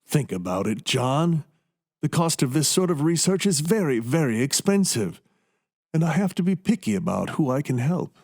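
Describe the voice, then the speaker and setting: Actor as a scheming, animated mad doctor. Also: male voice, on the high side. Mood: smug, menacing. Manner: smooth condescending menace, deliberate commands. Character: coldly scheming mad doctor, calm and gloating. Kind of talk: radio play acting.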